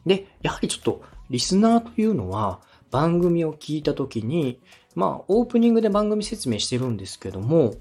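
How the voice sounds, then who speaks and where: A man's voice: on the high side, very animated, fairly quick, a little mellow, husky. A man speaking as a podcast host and director, giving polite, step-by-step feedback and acting out short examples as he explains. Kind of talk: structured coaching talk on a podcast.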